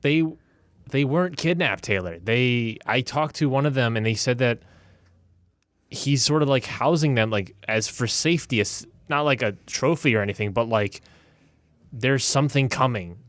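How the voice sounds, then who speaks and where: A man playing a conflicted adventurer who trusts his gut, speaking haltingly with stammers in a tabletop game. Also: medium pitch, animated, fairly bright tone, no echo, natural voice. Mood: conflicted, pleading. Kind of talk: improvised tabletop roleplay.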